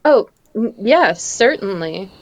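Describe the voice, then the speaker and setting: A woman's voice: mid-range voice, big pitch swings, slow, slight background noise, rough recording. A woman playing a nervous, polite, naive photographer with a hesitant stammer, in old-time radio drama style. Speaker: amateur voice actor in live table read.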